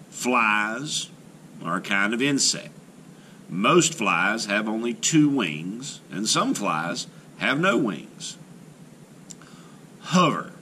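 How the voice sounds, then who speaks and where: Male teacher reading a picture book aloud at a slow, lively pace, with a bright tone and faint room hiss. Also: lowish voice. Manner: slow, careful reading with rising emphasis. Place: indoors at a table, camera over the book.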